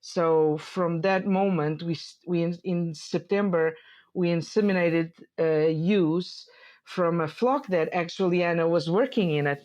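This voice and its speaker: A woman's voice: lowish voice, measured pace, darkish tone. A woman in her forties from Portugal with a Portuguese accent, a sheep farmer in an interview, speaking hesitantly with many fillers and restarts. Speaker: sheep dairy farmer, guest. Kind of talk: interview conversation.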